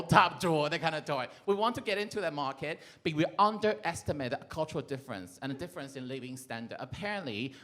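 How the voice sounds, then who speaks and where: Man, Taiwanese accent, stand-up comedian, lively swooping pitch, bright, playful. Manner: playful, animated, bursts into shouts and laughs.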